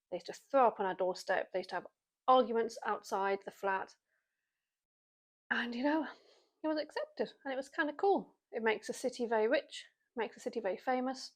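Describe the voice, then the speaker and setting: Brief: British woman, 40s, rambling self-mocking vlog chat, fairly high voice. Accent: British English. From Britain. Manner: chatty, self-mocking, wry asides. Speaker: vlogger. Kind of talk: casual chatty monologue to camera.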